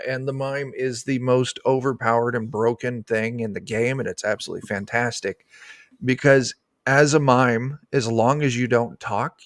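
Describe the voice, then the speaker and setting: A man's voice: medium pitch, fairly bright tone, no room sound, clean studio sound. A middle-aged man chatting informally and enthusiastically as a guest game designer, explaining things in a rambling way. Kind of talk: casual explanatory chat about a tabletop game. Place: indoor room on a video call.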